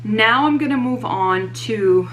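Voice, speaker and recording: A young woman vlogger, slightly hoarse, chatting casually and teasing herself about her purchases. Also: a little high, takes it slowly, a bit bright, a little hiss or hum. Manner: chatty, self-mocking, many fillers. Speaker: fashion vlogger. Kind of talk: casual haul chat to camera.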